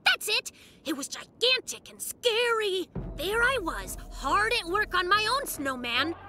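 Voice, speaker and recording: Squeaky, boastful little bear cub boy. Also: measured pace, full character voice. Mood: sheepish fibbing. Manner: excitable, dramatic storytelling. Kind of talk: children's cartoon dub acting. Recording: music behind the voice.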